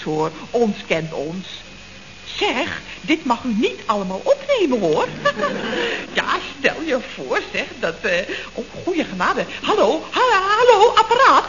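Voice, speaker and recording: Brief: flustered gossipy secretary, lively and high, vintage radio comedy. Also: female voice, a little slow, heavily acted. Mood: chatty flustered. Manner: chatty, giggly, breathless gossiping. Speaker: office secretary at a building firm. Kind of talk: 1960s radio comedy acting. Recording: dull old radio recording, faint hiss.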